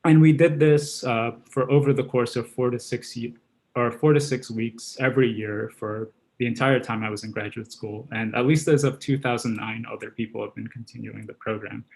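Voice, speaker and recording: Man, creaky voice, mid pitch, researcher from Guyana and Trinidad, academic seminar delivery. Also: steady tone, moderate pace, darkish tone, low-quality recording. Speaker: academic researcher, job candidate giving a seminar.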